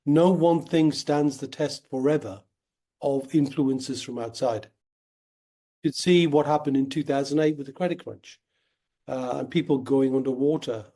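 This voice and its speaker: A man talks through his ideas in an earnest, steady interview manner. His voice is dark and mellow, with a slight croak left over from a cold. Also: fairly high pitch. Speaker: guest, wealth company founder. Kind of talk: conversational interview.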